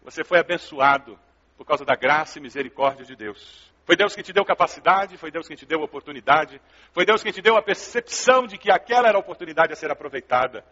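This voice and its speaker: A man's voice: a little high, expressive, quick pace, sharp tone. A man with a Brazilian Portuguese accent preaching a sermon as a pastor, in an emphatic, didactic manner, in a large hall. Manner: emphatic, didactic, with rhetorical questions and repetition. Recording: dull, reverberant large-hall recording.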